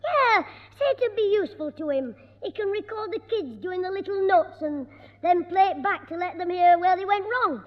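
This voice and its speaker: Cheeky, scheming schoolboy, very high voice, old radio sound, dull and echoey. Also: male voice, expressive. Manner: cheeky, quick-witted, stammering when caught out. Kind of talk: 1960s radio sitcom acting. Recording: dull old radio broadcast with long reverb.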